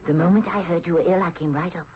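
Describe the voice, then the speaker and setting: A woman's voice: fairly low pitch, fairly even intonation, very fast, natural voice. Woman acting a strong-willed young princess, muffled recording. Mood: soothing, frank. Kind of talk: old-time radio drama acting. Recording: muffled radio recording with clear noise.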